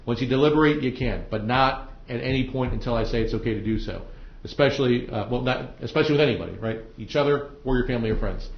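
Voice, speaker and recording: Quick-talking male judge in a roomy courtroom. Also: medium pitch. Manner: plain-spoken, colloquial, explains step by step. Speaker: judge presiding over a trial. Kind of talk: informal courtroom instructions to jurors and attorneys. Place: indoor courtroom. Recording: roomy, dull courtroom audio.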